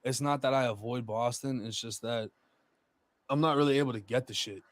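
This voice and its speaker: A man's voice: medium pitch, a little fast, dry recording. A man chatting casually as a podcast guest, blunt and profane, with heavy street slang.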